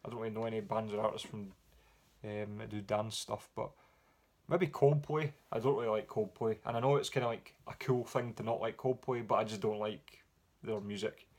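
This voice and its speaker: Scottish bloke rambling thru viewer questions, laid back, lots of umms. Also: male voice, on the low side, normal speed. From Scotland. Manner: relaxed, rambling with many ums. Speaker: music vlogger. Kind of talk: casual Q&A vlog.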